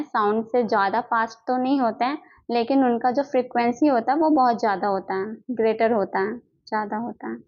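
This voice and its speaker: A woman with an Indian accent teaching a lesson, reading out notes and explaining them step by step, often repeating herself with little check-in tags. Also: fairly high pitch. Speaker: physiotherapy teacher. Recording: dull lo-fi microphone sound.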